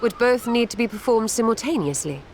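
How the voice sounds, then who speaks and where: A woman's voice: on the high side, lively intonation, a little fast, slight background noise. An actress voicing a composed, analytical woman mage in a video game, speaking dryly and precisely.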